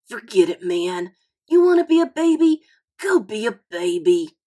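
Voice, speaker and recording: A woman's voice: medium pitch, sing-song, unhurried, dark tone. A woman voicing a cocky, bossy fourth-grade boy in a storybook, scornful and emphatic. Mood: dismissive. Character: cocky, pushy fourth-grade boy lecturing his friend.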